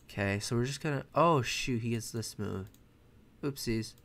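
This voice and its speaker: A young American man, a native Mandarin speaker, casually commenting on his own chess game from his bedroom, chatty and quick to correct himself. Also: medium pitch, fairly bright tone. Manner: thinks aloud, chatty, self-correcting. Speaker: chess streamer. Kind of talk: casual live commentary while playing. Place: bedroom at home, indoors.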